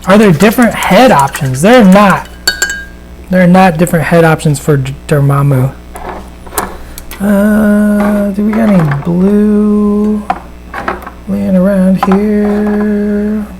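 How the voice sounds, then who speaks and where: A man with a raspy voice, chatting casually and giving a live painting demonstration, with plenty of fillers and restarts. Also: high-pitched, slow, long pauses, noisy background. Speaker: miniature painter and host. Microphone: studio microphone at a desk.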